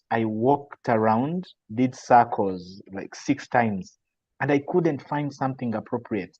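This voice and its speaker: A man's voice: medium pitch, a little mellow, no echo. A Ugandan man speaking as a podcast guest, reflective and earnest, often restarting his sentences. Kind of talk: casual reflective talk. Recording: dry, dull lo-fi call audio.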